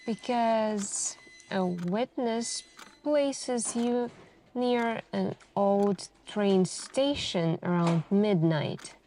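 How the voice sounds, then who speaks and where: A woman playing a suspicious police detective in an ASMR interrogation roleplay, speaking in a deliberate, insinuating way with pointed pauses. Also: medium pitch, darkish tone, a little hiss or hum. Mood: probing, skeptical.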